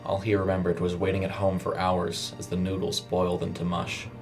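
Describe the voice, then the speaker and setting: A man's voice: deep voice, completely flat delivery, brisk. A man narrating a scripted horror short story in a dramatic storytelling style. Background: music under the voice.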